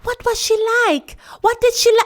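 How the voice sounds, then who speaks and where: A woman's voice: very high-pitched, moderate pace, voice pushed up. A woman voicing an eager, impatient young granddaughter who pleads and questions insistently in a story. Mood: pleading, curious. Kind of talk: storybook character voice.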